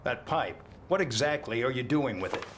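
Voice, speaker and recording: An actor playing a stern, grudging military officer in game cutscene dialogue. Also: male voice, mid-range voice, lively intonation, moderate pace, close to an everyday voice. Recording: loud background noise.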